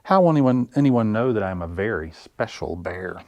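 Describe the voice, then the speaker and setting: An earnest little storybook bear, played by a man reading aloud, pleading with drawn-out emphasis. The pitch swoops and climbs, and the sound is close and dry. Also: expressive, a little mellow, dry recording, muffled recording. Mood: worried. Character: special young bear, earnest, pleading for his hat. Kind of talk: storybook character read aloud for children.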